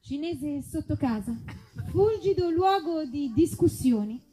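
A woman's voice: high voice, expressive, unhurried, warm tone, poor audio. A woman performing a mock advertisement in a live comic sketch.

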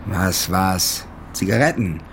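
Low-voiced, bitter young ex-soldier. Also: male voice, slow pace, slight background noise. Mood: brusque. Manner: terse, challenging, provocative. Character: brooding young male ex-soldier, bitter, cynical, commanding. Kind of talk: German radio drama dialogue.

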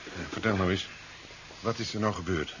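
An actor playing a calm, caring and practical scientist in a 1970s science fiction radio drama, speaking in a natural conversational way. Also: male voice, low voice, normal speed, close to an everyday voice. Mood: puzzled. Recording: dull, noisy 1970s radio recording.

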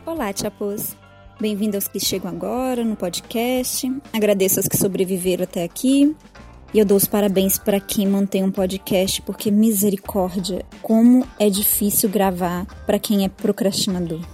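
A woman's voice: medium pitch, dark tone. A Brazilian woman co-hosting a podcast in casual chat, with a playfully grandiose, teasing manner. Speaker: podcast co-host. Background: music.

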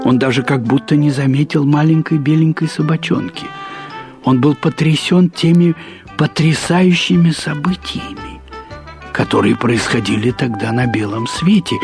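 A man reads literary prose as a storyteller, in a measured, deliberate way with pauses and emphatic repetition. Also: mid-range voice. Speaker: narrator. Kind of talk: expressive literary narration of a short story.

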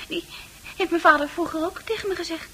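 A woman's voice: very high-pitched, fairly even intonation, fairly quick, bright, forceful tone, a little hiss or hum. A woman playing a bewildered young psychiatric patient in a radio drama, anguished and stammering as she repeats herself. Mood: confused, hesitant.

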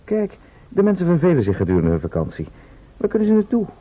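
Male radio-play role: practical organiser from the future, explanatory, Netherlands Dutch, roughened voice, narrow old-radio sound. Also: high-pitched, wildly swooping pitch, quick pace, heavily acted. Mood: placating. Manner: explanatory, matter-of-fact. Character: matter-of-fact male travel organiser from the future. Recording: thin, band-limited old radio recording.

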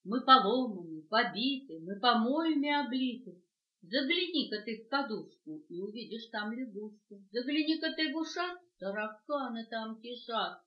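A woman's voice: fairly high pitch, animated, moderate pace, lightly acted. A woman voicing a battered, grumbling copper basin with an indignant complaining manner, on a dull, echoey cassette recording.

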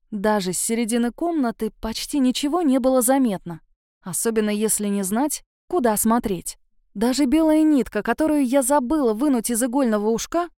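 Soft, mellow higher-voiced woman narrating. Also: no echo, clean studio sound. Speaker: audiobook narrator. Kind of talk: scripted first-person suspense story narration.

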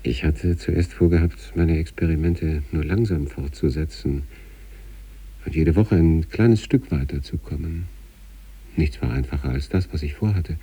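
A man acting an obsessive sanatorium patient in a literary radio monologue, reading out letters to his wife with measured, introspective intensity and a husky, gravelly voice. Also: distant mic, exaggerated voice. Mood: absorbed, determined. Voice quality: husky, gravelly, dark low voice.

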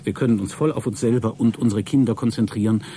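A man's voice: on the low side, steady tone, quick pace, dark tone, slight background noise, close to an everyday voice. An actor playing a smug, lecherous, delusionally upbeat male astronaut in a satirical science-fiction radio drama, pompous and wheedling in his grand speeches. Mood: euphoric.

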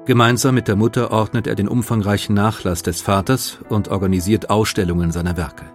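Low-voiced male documentary narrator. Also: fairly even intonation, a little fast, soft background music.

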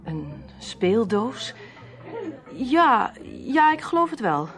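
An actress playing a guarded, plain-spoken nightclub performer with a direct manner in a radio drama. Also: female voice, fairly high pitch, very animated, slow, fairly bright tone, soft background music, full character voice. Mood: hesitant.

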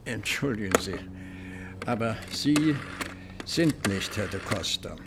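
Slow, creaky, formal hotel receptionist. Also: male voice, on the low side, lively intonation, very noisy, heavily acted. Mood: wary, polite. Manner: polite, measured, formal. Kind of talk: German radio play acting.

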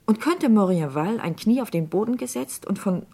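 An actress playing an anguished, probing doctor's wife in a German radio crime drama, speaking in a measured way. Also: female voice, mid-range voice, lively intonation, mellow, slightly rough. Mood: anxious, earnest.

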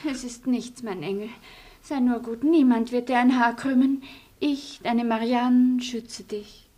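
A woman's voice: on the high side, steady tone, measured pace, mellow. An actress playing a genteel, dramatic and cajoling French lady in a radio play. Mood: tender soothing.

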